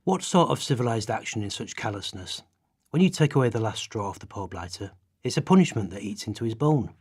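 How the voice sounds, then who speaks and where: A man's voice: lowish voice, animated, a little fast, darkish tone, slightly rough. A man giving declamatory, emphatic readings of quoted prose for a radio play. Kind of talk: dramatic read quotations in a radio play.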